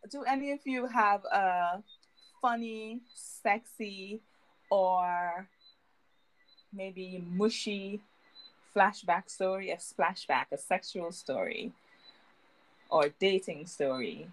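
A woman's voice: medium pitch, slow pace, long pauses, low-quality recording. A woman hosting a podcast, bubbly and teasing, laughing often with her guests. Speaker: podcast host. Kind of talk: casual podcast chat.

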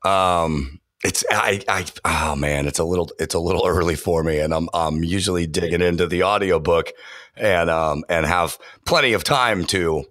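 An enthusiastic man talking shop in a podcast interview, his voice sitting extremely low. He stumbles through fillers and restarts as he goes. The sound is dry and close, with no room around it. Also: moderate pace, fairly bright tone, dry recording. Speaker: voiceover artist and audio engineer. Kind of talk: casual interview talk.

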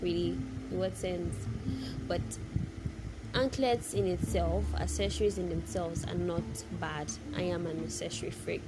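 A woman's voice: on the low side, a little slow, heavy background noise. A woman from Nigeria with a Nigerian accent gives a casual, opinionated monologue, speaking emphatically with repeated phrases and rhetorical questions. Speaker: vlogger giving personal opinion.

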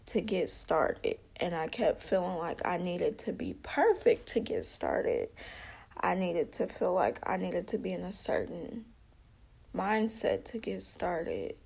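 Slow, gravelly woman talking earnestly. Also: lowish voice, darkish tone. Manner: earnest, conversational, addresses listeners as y'all; repeats herself, small slips and restarts. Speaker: vlogger. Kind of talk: personal testimony monologue.

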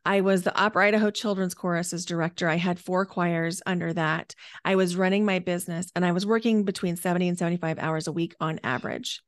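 A woman's voice: fairly low pitch, fairly even intonation, fast talker, hardly any pauses, dry recording. A 49-year-old woman speaking as a podcast guest, telling her own story conversationally and stretching key words for emphasis. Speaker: podcast guest, energy healer and coach.

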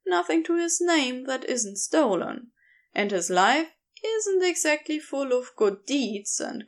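Woman reading fiction aloud, takes it really slow, highish voice that moves around a lot. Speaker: podfic narrator. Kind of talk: scripted story narration.

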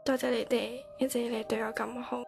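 High-voiced, sensitive teenage daughter. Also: female voice, measured pace, music in the background. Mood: tearful farewell. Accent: Hong Kong Cantonese. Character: self-deprecating nineteen-year-old daughter, sensitive and dramatic. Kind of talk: radio drama acting.

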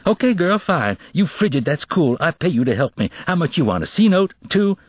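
Man acting a cocky, high-pitched teenage bully. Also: very animated, fairly quick, slightly rough, no echo, highs cut off. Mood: impatient, bargaining. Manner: slangy, pushy, bullying talk. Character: cocky, menacing teenage boy with street swagger, a would-be cheat.

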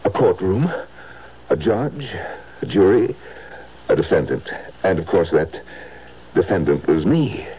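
An actor playing a nervous, defensive fortune-hunting husband haunted by visions, in halting, dramatic 1970s radio drama style. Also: male voice, sits low, sing-song, slightly rough, full character voice. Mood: rattled. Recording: dull vintage radio recording with loud music.

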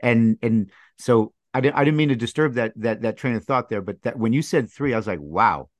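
A man hosting a podcast interview, talking casually in halting, restarting phrases and admiring his guest. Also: medium pitch, dry recording, clean studio sound. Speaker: podcast host.

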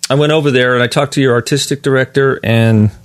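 A man interviewing someone in a casual, chatty way, somewhat halting as he searches for names and details. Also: mid-range voice, fairly even intonation, smooth, dry recording.

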